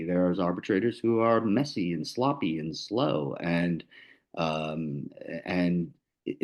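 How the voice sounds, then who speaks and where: A man with a New Jersey accent speaking casually on an online panel as a lawyer, with lots of fillers and restarts. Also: on the low side.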